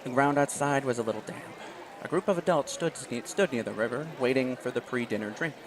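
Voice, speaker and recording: A man's voice: medium pitch, a little fast, background noise. A man reading a visual novel aloud in first person, with small restarts. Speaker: let's play host.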